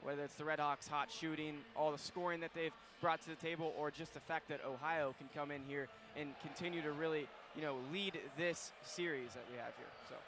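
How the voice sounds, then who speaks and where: A young man hosting a college basketball pregame show on student radio, courtside in a packed arena, talking excitedly and rambling with fillers. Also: a little high, a little fast, hardly any pauses. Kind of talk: live basketball pregame talk. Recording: faint arena noise behind.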